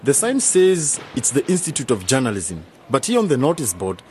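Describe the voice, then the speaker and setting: An actor playing an eager, enterprising young man in a radio drama, excitable and persuasive. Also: medium pitch, normal speed, slight background noise. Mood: puzzled, joking.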